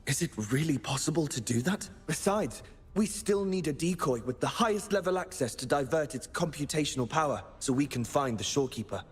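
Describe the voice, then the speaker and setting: An actor playing a dutiful, analytical male research team member in a video game, with an earnest manner and slightly husky voice. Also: a little high, animated, fairly quick. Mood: doubtful, concerned. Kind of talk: scripted video game voice acting.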